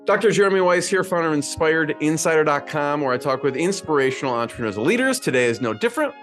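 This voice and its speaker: A man's voice: fairly high pitch, lively intonation, fast, fairly bright tone, faint music behind. A man hosting a podcast, introducing his guest in a chatty way with many ums. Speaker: podcast host. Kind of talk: conversational interview intro.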